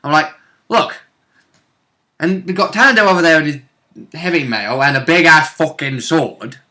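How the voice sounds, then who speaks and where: A man's voice: on the high side, normal speed, bright, forceful tone. A man who plays tabletop games, chatting casually and animatedly about a session, swearing freely. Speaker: tabletop player. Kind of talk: casual chat recapping a tabletop game.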